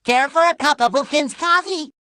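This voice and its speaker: A man voicing a chirpy, pushy cartoon sea sponge pitchman in a commercial skit. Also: normal speed, sharp tone, no room sound, clean studio sound. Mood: pushy, upbeat.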